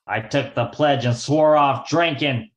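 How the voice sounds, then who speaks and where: An amateur actor playing a sullen young hoodlum nephew in an old-time radio drama. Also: male voice, mid-range voice, unhurried, lo-fi sound, natural voice. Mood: gruff refusal.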